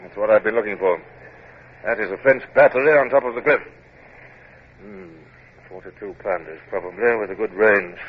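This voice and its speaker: An actor playing a stern British naval captain in a radio drama, speaking in a clipped, formal, commanding way, with measured narration. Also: male voice, lowish voice, lightly acted. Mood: crisp, composed. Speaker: lead actor and first-person narrator. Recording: muffled, noisy vintage radio recording.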